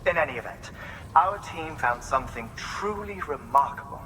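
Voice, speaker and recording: A bright, crisply forward scientist's voice rings with long reverb over faint noise. He is courteous, formal and precise, lecturing in a video game. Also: mid-range voice, expressive, moderate pace. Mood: fascinated lecturing. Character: courteous, erudite male scientist, gently intense. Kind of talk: dramatic video game exposition.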